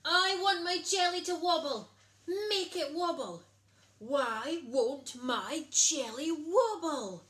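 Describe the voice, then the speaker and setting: A woman voicing a bossy, hysterical young princess from a storybook with a shrill, screechy voice. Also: animated, slow, fairly bright tone, roomy sound, full character voice.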